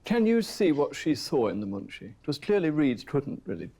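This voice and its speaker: High-pitched male presenter on location. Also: sing-song, a little fast, warm tone, no room sound. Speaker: documentary presenter. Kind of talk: storytelling and interviewing on location.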